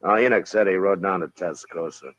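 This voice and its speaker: An American man acting a stern, weary Old West marshal in a radio Western, speaking in a gruff, terse, authoritative way. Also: low voice, a little fast, a bit bright, no room sound. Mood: dry, probing. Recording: muffled old radio recording.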